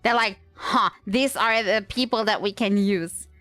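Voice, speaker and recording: A woman's voice: medium pitch, measured pace, fairly bright tone, no echo. A woman livestreamer giving casual, wry commentary on a video game, thinking aloud, with game music behind her.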